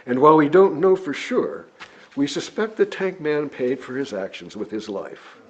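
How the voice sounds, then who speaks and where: A man reads a written sermon aloud in a measured, deliberate, oratorical way, with a husky voice. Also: medium pitch, darkish tone. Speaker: lay preacher reading a written sermon. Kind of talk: sermon read from a script. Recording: dull, lo-fi recording.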